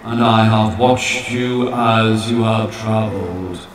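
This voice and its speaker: An ancient, all-knowing old man of a planet, as a man acts him out for an audio story. He speaks slowly and solemnly, with a grave impatience. The voice sounds distant and heavily echoed, over faint music. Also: lowish voice, flat delivery, a little hiss or hum. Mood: probing, solemn. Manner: slow, deliberate, solemn.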